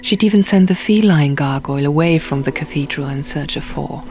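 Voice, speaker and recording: A woman's voice: low-pitched, fairly quick, darkish tone. A woman reading scripted first-person fantasy fiction as an audiobook narrator. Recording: music behind. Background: music.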